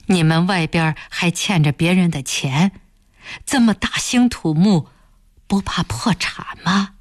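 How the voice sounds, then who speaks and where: A woman voicing a cautious, disapproving old village father in an audiobook, speaking in a breathy, admonishing way. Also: on the low side, unhurried, no echo, clean studio sound. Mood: stern disapproval. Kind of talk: audiobook character voice.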